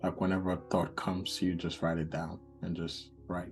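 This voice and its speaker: A man's voice: low voice, flat delivery, normal speed, faint music behind. A man hosting a podcast as a therapist, talking in an encouraging, easygoing way. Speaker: therapist host.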